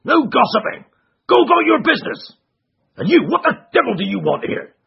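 A fierce old horse trainer who barks and blusters, his voice pushed up high and loud, as a male reader puts him on. The tone is husky and swings wildly, and the recording sounds dull and muffled. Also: very animated, fairly quick, exaggerated voice. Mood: angry, blustering. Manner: barking, blustering threats.

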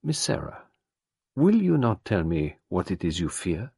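A man voicing a gentle, grave older male detective who speaks quietly and carefully. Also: low-pitched, huge pitch swings, unhurried, vocal fry, slightly rough, dry recording, studio quality, heavily acted.

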